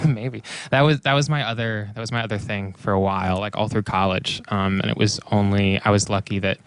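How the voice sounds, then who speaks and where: A man's voice: fairly low pitch, fairly even intonation, fairly quick, clean tone, no room sound. A man in his twenties, a musician being interviewed on radio, easygoing and hesitant, with frequent fillers and laughs. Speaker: musician guest.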